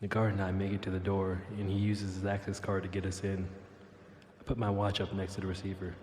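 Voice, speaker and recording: Guy doing a wisecracking fugitive hero for an audio drama, lowish voice, echoey and noisy. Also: monotone, a little fast, lightly acted. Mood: wry narrating. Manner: slangy, flippant. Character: cocky wisecracking fugitive man with a mechanical tail. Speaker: lead character and narrator. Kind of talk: first-person audio drama narration and dialogue. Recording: long reverb, clear background noise.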